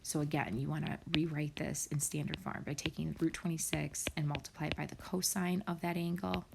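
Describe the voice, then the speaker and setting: A woman's voice: low voice, flat delivery, a little fast, creaky, background noise. A woman math teacher talking students through problems step by step in a recorded screen lesson, often dropping to a near whisper.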